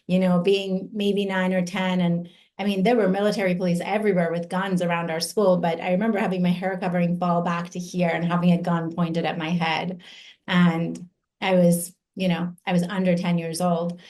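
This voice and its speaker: Woman from Iran, forties, earnest testimony, slow measured delivery, lowish voice. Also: a little mellow. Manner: earnest, slow and deliberate with emphatic pauses. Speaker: invited speaker. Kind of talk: personal testimony speech.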